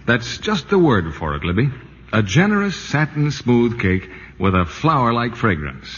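A man's voice: medium pitch, very animated, normal speed, a bit bright, slightly rough. A man announcing a scripted 1940s radio commercial in a persuasive selling manner. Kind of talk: 1940s radio advertising pitch. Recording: muffled, reverberant 1940s radio broadcast.

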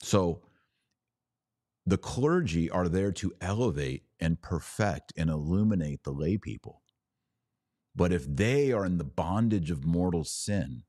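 A man delivering a religious teaching monologue as a podcast host, speaking in a deliberate, didactic way with emphatic pauses between phrases. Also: low-pitched, a little mellow, dry recording, studio quality.

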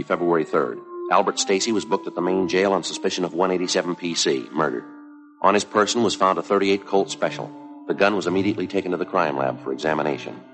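1950s police sergeant: low voice, clipped deadpan case narration, music on an old TV soundtrack. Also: male voice. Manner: deadpan, matter-of-fact. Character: terse, businesslike male police sergeant narrating his case. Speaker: lead detective and narrator.

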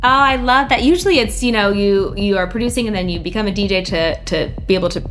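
A woman's voice: medium pitch, fast, fairly bright tone, soft background music. Enthusiastic woman radio show host. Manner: enthusiastic, gushing reactions. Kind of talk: read introduction then casual interview.

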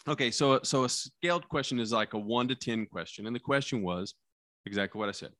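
A man who is a criminal defense lawyer talking casually about trial practice, with a loud, carrying voice, acting out courtroom lines and dropping his voice low for effect. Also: a little fast, no room sound, clean studio sound. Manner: animated storyteller, acts out courtroom lines, drops voice low for effect.

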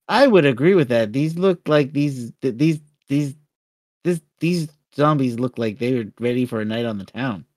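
A man co-hosting a podcast in casual chat, halting and repeating words as he starts his sentences. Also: medium pitch, animated, a little mellow, dry recording, clean studio sound. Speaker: podcast co-host.

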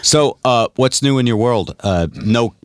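A man's voice: medium pitch, a little slow, dry recording, clean studio sound. A middle-aged man hosting a radio show in casual, joking banter.

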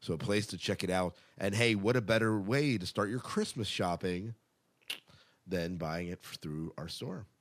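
A man's voice: low-pitched, normal speed. A man hosting a podcast, chatting casually and making announcements in an enthusiastic manner. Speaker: podcast host.

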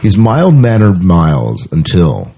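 A man co-hosting a podcast in casual chat, with jokey banter and words repeated in quick agreement. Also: deep voice, huge pitch swings, warm tone, breathy edge. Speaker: podcast co-host. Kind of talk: casual chat, running through the show's lineup.

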